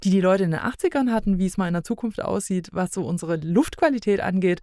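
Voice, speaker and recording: A woman's voice: medium pitch, expressive, quick pace, darkish tone, no room sound, studio quality. A woman chatting casually and reflectively as a podcast guest, thinking aloud at length and repeating points for emphasis.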